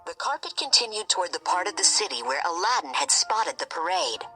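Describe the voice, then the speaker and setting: Bright, animated woman reading a storybook. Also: fairly low pitch, a little fast, soft background music, poor audio. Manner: animated, expressive. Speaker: storybook reader. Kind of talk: scripted children's story narration.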